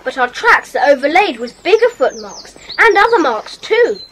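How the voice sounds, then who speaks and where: A woman's voice: high voice, big pitch swings, moderate pace, fairly bright tone, a little hiss or hum. A woman playing a bold, inquisitive young boy detective with an eager, earnest manner in a children's audio drama. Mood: curious, eager.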